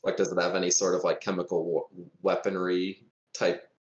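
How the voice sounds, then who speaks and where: A man's voice: low voice, completely flat delivery, moderate pace. A man chatting casually as a player in a tabletop roleplaying game, recorded with a dull, roomy sound. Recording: dull, echoey room sound.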